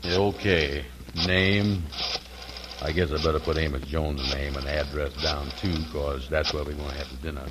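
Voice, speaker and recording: A man playing a slow-witted, good-natured fellow in 1940s American radio comedy, with a slow, folksy, ungrammatical drawl. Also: a bass voice, fairly even intonation, heavy background noise. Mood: sheepish.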